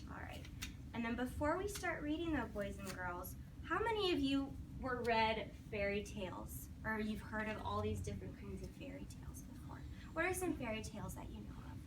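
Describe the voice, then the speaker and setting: A woman's voice: a little high, big pitch swings, moderate pace. A woman teacher reading a storybook aloud to young children, encouraging them and putting questions to them as she goes. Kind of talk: interactive classroom storybook read-aloud. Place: classroom with children. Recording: noisy classroom, distant microphone.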